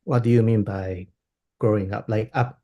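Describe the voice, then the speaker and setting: A man in his thirties from Taiwan speaks over a laptop webcam from a room at home. His voice sits a bit low. He answers thoughtfully, restarting phrases as he searches for the right word. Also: fairly even intonation, moderate pace, lo-fi sound. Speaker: violinist guest. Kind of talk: video call interview. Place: home room with plain wall. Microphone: laptop webcam on video call.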